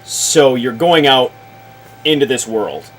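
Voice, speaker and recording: A man talking casually about tabletop games in a deliberate, halting, explanatory way, as if advising another player. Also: mid-range voice, low-quality recording. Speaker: show host, experienced game master advising.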